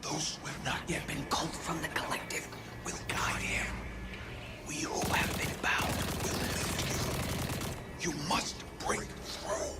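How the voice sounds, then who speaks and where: A man voicing a mournful collective of exiled statue spirits in a video game, cryptic and solemn, with breathy whispering. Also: a little high, fairly even intonation, slow pace. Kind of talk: dramatic video game voice acting.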